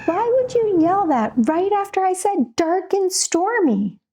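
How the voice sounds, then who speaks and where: A woman's voice: very high-pitched, big pitch swings, a little slow, noisy background, pitched above the performer's own voice, exaggerated voice. A woman voicing an easily spooked storybook narrator who theatrically warns and questions the listener in a children's read-aloud. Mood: spooky storytelling.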